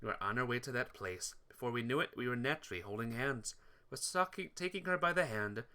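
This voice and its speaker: A man's voice: medium pitch, expressive, fast, bright, forceful tone. A man narrating a visual novel playthrough, reading the story text aloud.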